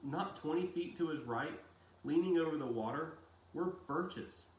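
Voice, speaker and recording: Guy reading a kids novel out loud, takes his time, kinda echoey room. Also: a little high, measured pace. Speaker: reader of a children's novel read-aloud. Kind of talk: audiobook-style narration with brief casual asides. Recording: roomy echo, faint background noise.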